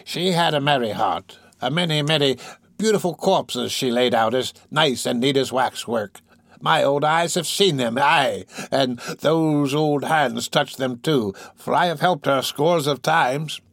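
A man's voice: medium pitch, a little slow, fairly bright tone, dry recording, studio quality. A man voicing a sly old workhouse crone in a storybook, gossiping morbidly in a drawl. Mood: fond, morbid.

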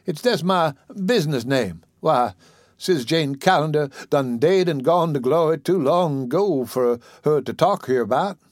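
A bossy, motherly old Southern woman scolds in a high, drawn-out Southern drawl, as a male narrator puts her on. Her words stretch out slowly and rise high above an ordinary man's voice. The sound is dry and close to the mic. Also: expressive, dry recording. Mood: scolding, motherly. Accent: American Southern. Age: older. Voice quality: high put-on voice, drawn-out dialect speech.

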